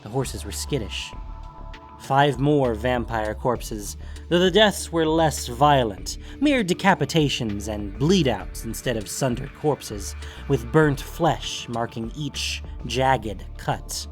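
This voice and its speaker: Male narrator, medium pitch, scripted dramatic storytelling, deliberate phrases, faint background music. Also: lively intonation.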